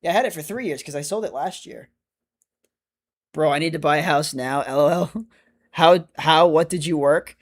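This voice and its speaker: A man's voice: on the high side, normal speed, long pauses. A man of twenty-five chatting casually on a livestream, answering viewer comments in a rambling, self-correcting way. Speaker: streamer.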